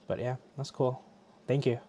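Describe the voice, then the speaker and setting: A man's voice: medium pitch, steady tone, normal speed, mellow, a little hiss or hum. A middle-aged man from Bangladesh hosting a casual live stream, chatty and loose, often restarting his sentences. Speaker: livestream host. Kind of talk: casual chat.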